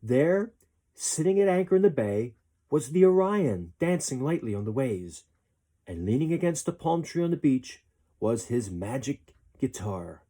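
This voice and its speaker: A man narrates a children's storybook expressively. His voice is mellow and somewhat husky, at a medium male pitch. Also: very animated, a little slow. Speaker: storybook reader.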